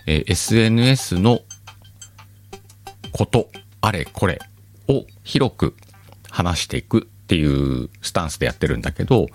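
A man hosting his own talk show, musing aloud in a loose, self-mocking way and chuckling at his own slips, with a lilt. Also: low-pitched. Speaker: podcast host. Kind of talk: casual solo radio-style talk.

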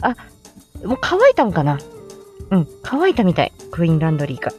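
A woman's voice: lowish voice, very animated, a little fast, faint music behind. A woman rambling casually to her listeners as a stream host, giggly and self-deprecating. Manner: giggly, self-deprecating, laughs often at herself.

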